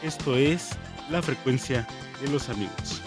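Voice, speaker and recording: A man's voice: medium pitch, a little slow, over music. A man from Mexico hosting a podcast, chatting in a friendly, upbeat way with his guest. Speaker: podcast host.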